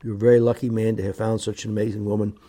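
Middle-aged male, low and husky, sincere and unpolished, halting delivery of a company boss speaking plainly. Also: flat delivery, mellow. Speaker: business owner reading staff emails and reflecting. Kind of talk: sincere personal remarks.

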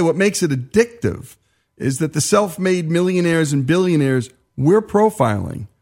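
Emphatic male radio host. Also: sits high. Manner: emphatic, conversational, with asides. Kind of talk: radio talk show narration.

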